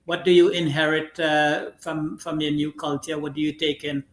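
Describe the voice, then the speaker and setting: A man from Trinidad hosts a talk show, chatting along in a halting way full of fillers. His voice sits a little high, and the room around him sounds echoey. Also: monotone, a little hiss or hum. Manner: conversational, halting, with many fillers. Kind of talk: casual talk show conversation.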